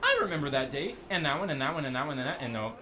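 A man's voice: a little high, animated, rapid-fire, pushed and bright, some chatter behind. A man hosting a gaming video, giving casual, joking let's-play commentary with rambling, sarcastic asides.

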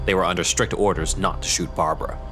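A man reading scripted narration for a dark superhero audio drama. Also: sits low, steady tone, fast, soft background music. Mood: narrating.

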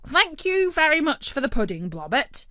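A woman voicing a greedy, brash male turkey who talks loudly and eagerly. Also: sits high, sing-song, normal speed, no echo, studio quality, voice pushed up, heavily acted. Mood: greedy boasting. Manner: loud, eager, bossy.

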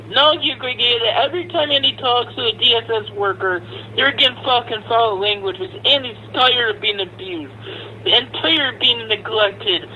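A man with a high, very forceful voice rants in an aggrieved, sarcastic way. His language is foul and confrontational. There is faint noise on the recording. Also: highs cut off. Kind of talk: angry, profane rant to camera and recorded audio.

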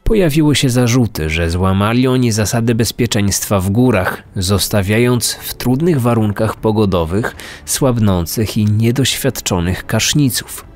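A man hosting a true-crime podcast with serious, deliberate scripted narration. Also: on the low side, a little mellow. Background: faint music.

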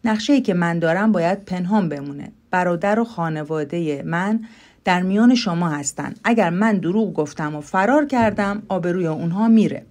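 A woman's voice: fairly low pitch, darkish tone, natural voice. A woman voicing a clever male rabbit in a children's fairy tale, speaking earnestly and persuasively.

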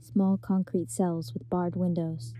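A woman with a low, very dark and mellow voice, reading scripted description in a flat, even tone. Faint hiss sits under it. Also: takes it slowly. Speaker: audio description narrator. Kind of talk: scripted narration.

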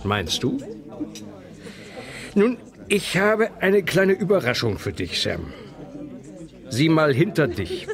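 A man's voice: fairly high pitch, sing-song, takes it slowly, long pauses, exaggerated voice. A man playing a kindly, careful scientist professor in a children's audio drama. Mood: gently reassuring. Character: kindly, cautioning male scientist professor with playful humour.

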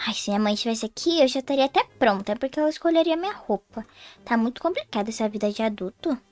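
A woman's voice: on the high side, expressive, quick pace, a little mellow, faint music behind, natural voice. A woman voicing a naive girl who has suddenly become a young adult woman, chatty and thinking aloud with whiny sighs in a roleplay game story. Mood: musing. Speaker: roleplay player voicing Diana.

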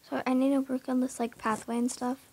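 A young woman with a high, creaky voice gives a casual, unhurried commentary while playing a horse game. Her speech is husky and hesitant, full of fillers, and she often drops to a whisper. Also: level tone, mellow. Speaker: gamer narrating her horse barn.

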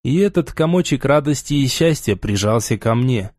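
Guy reading a fantasy audiobook, mellow voice, measured and expressive, really dry close sound. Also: medium pitch, no echo. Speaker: audiobook narrator. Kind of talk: scripted first-person fantasy novel narration.

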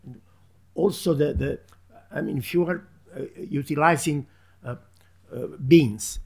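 Gravelly, husky man on a conference panel. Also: on the high side, a little mellow. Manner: halting, many restarts and fillers. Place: large conference hall, seated at panel table. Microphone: table microphone.

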